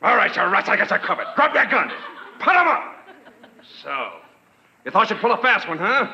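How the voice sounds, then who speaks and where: A tough-talking, menacing screen gangster from old radio. The voice is high, bright and snarling, with lively swings. The recording is lo-fi. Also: male voice, moderate pace, vocal fry, a little hiss or hum, heavily acted. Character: tough-talking movie gangster played by a film actor. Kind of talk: 1940s radio gangster acting.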